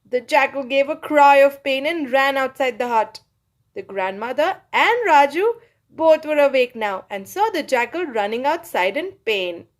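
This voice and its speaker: A woman reading a children's story aloud for her channel. Her fairly high voice rises and falls a lot as she tells it cheerfully. Soft music plays faintly behind her. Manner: cheerful, expressive. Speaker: storybook reader, channel host.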